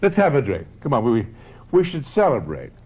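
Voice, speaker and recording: An actor playing a crass, drunken movie director husband in a radio drama, brusque, sneering and slangy. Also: male voice, medium pitch, wildly swooping pitch, a little fast, husky. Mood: breezy, pushy. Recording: dull lo-fi radio drama recording.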